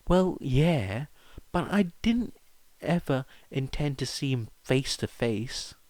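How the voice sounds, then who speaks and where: A man's voice: mid-range voice, animated, slow, darkish tone, dry recording, voice pushed up. A man voicing a clever, earnest teenage witch who argues matter-of-factly in an audiobook story. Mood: hesitant.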